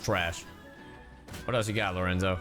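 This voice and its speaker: A man's voice: low-pitched, steady tone, moderate pace, a bit bright, soft background music. A man streaming a game, joking and reacting playfully between cutscenes. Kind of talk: casual gaming commentary.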